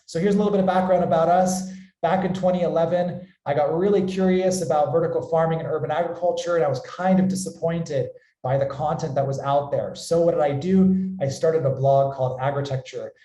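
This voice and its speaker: An American man presents an online webinar in an enthusiastic way. His voice sits a little high with a soft, mellow tone. The room around him adds a clear echo. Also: steady tone, brisk, hardly any pauses. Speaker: company founder hosting a webinar. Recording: roomy, echoey sound.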